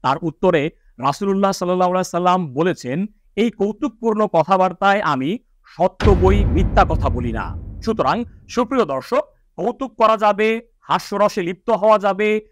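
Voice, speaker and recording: A man's voice: high-pitched, expressive, moderate pace, slight background noise. A man presenting an Islamic lecture to viewers, speaking formally and didactically as he cites scripture. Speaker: presenter of an Islamic educational video. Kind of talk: religious lecture to viewers, citing hadith and scripture.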